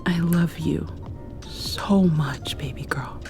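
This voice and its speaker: A woman doing an ASMR roleplay, drifting between whispers and soft speech in an affectionate, playfully scolding way. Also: low voice, takes it slowly, over music.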